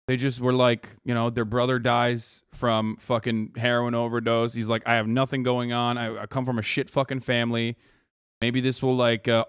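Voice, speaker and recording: A man's voice: mid-range voice, a bit bright, clean tone, dry recording, clean studio sound. A man in his thirties from Turkey, ranting casually and profanely while reacting to clips as a streamer. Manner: profane, emphatic, rambling with restarts.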